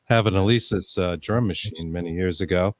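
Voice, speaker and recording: A man's voice: low-pitched, brisk, no echo, studio quality. A man hosting a casual podcast chat, easygoing, with many fillers and easy laughs. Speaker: podcast host.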